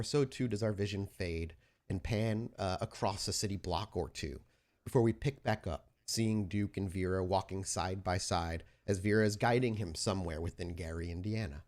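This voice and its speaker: A man acting as game master, narrating an improvised tabletop story in a descriptive, halting way. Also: lowish voice.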